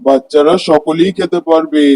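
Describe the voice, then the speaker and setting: Man acting a higher-pitched eager street vendor husband. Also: steady tone, normal speed, darkish tone, natural voice. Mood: hawking. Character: greedy, cheerful married street-food vendor man. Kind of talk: Bengali cartoon story voice acting.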